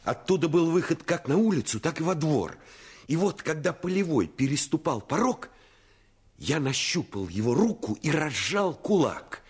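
An actor narrating a radio play in the first person as an earnest, intense storyteller recalling a boyhood adventure. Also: male voice, on the high side, big pitch swings, normal speed, slightly rough. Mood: tense, dramatic. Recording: radio play with music underneath.

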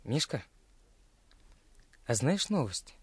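A curious young village boy in a radio drama, husky and unhurried. He is naive and a little secretive, recorded dry and close. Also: mid-range voice, very animated, dry recording, exaggerated voice. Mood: sly. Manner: hesitant, folksy, inquisitive. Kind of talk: radio play acting.

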